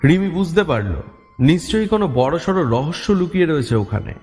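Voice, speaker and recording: Male horror story narrator: slightly high voice, scripted dramatic storytelling, reverberant sound. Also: very animated, fairly quick, a little mellow, soft background music. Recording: long reverb.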